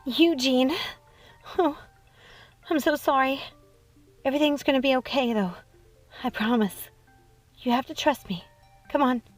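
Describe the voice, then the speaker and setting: A woman's voice: sits high, unhurried, long pauses, no echo, voice pushed up. A woman voicing a frightened but defiant young princess in a storybook reading, pleading earnestly, with music behind. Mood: distraught, tender.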